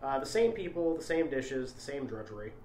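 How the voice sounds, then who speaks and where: A man's voice: mid-range voice, sing-song, brisk, a little mellow, slight background noise. A man co-hosting a story read-along, shifting between casual chat and reading aloud. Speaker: co-host and reader. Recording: echoey, reverberant room sound.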